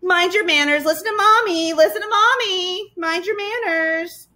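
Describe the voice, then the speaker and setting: Shrieking, jeering furry swamp monsters. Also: female voice, very high-pitched, big pitch swings, takes it slowly, pushed and bright, voice pushed up, exaggerated voice. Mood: mocking. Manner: shrieking, taunting, sing-song chanting. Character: jeering mob of furry green swamp monsters, gleefully nasty.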